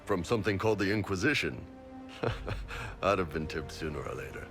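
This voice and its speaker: An actor playing a big horned Qunari mercenary boss in a video game, blunt, informal and jovial. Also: male voice, deep voice, lively intonation, moderate pace. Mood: frank, serious. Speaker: mercenary captain and self-declared spy. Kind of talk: video game character dialogue. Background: game music.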